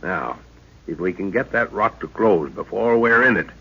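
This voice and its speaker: An actor playing a thoughtful middle-aged professor who leads an expedition, speaking in a measured, hesitant way in a 1930s radio drama. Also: male voice, on the low side, lively intonation, gravelly, slightly rough, full character voice. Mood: calm, resolute. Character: scholarly middle-aged male professor, calm leader. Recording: dull lo-fi old radio recording.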